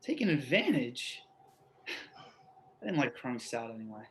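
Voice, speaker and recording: A man reading a play part as an anxious, pleading man, halting and imploring. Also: medium pitch, huge pitch swings, a little hiss or hum. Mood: desperate. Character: anxious, pleading man desperate to keep his housemate. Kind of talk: readers theatre play reading.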